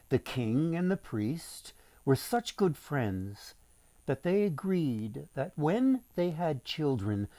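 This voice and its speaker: Slow, animated, mellow male storyteller. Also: mid-range voice, no echo. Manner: deliberate, dramatic, emphatic phrasing. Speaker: author reading his folktale aloud. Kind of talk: storytelling for young listeners.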